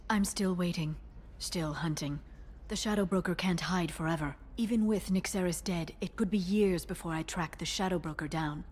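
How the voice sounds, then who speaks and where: A woman playing a guarded, driven young alien information broker bent on revenge, in an earnest, measured and intense manner for a video game. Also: on the low side, a little mellow, breathy edge. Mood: resolute. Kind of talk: cinematic video game voice acting.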